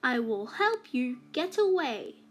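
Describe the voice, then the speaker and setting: A woman's voice: sits high, big pitch swings, takes it slowly, heavily acted. A woman voicing an earnest, gallant young prince in a children's fairytale. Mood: reassuring.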